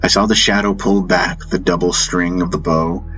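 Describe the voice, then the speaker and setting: A man's voice: low-pitched, level tone, normal speed, a bit bright. A man narrating a fantasy audiobook in the first person, with intense, solemn, dramatic storytelling. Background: music bed.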